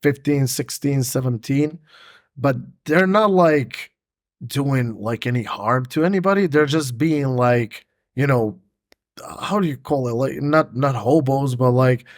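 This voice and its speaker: A young man from Montenegro hosts a casual podcast, his voice sitting at an ordinary pitch and recorded close and dry. He rambles through fillers and swears casually, and his native language is Montenegrin. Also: unhurried, no echo. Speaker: podcast host. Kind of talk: casual conversation.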